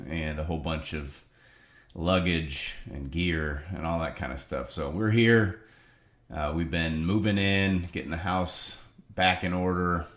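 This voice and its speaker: A deep, low-pitched man speaks with earnest, preacherly emphasis. A cold leaves him slightly nasal. The sound rings a little off the walls of his home office. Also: a bit bright, reverberant. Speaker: ministry leader giving a video update. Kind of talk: casual spoken update to supporters.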